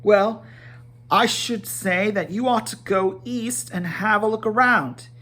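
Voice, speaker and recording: A man's voice: sits high, takes it slowly, pushed and bright, voice pushed up, full character voice. A man voicing a timid, polite little hobbit in a storybook reading, fussy and hesitant, rambling courteously. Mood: flustered, polite.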